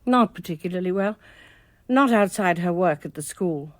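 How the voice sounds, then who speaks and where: Woman playing a composed, measured headmistress, dry sound. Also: on the low side, animated, no echo, close to an everyday voice. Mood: composed, reserved. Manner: measured, formal, discreet. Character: composed, authoritative headmistress, dryly discreet. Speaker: actress in a radio mystery drama. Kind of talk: radio drama acting.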